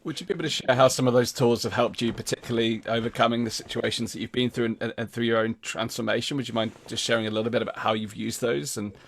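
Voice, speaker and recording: A man with a creaky, mid-pitched voice talks quickly. He is an interviewer, phrasing his questions politely. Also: little pitch movement.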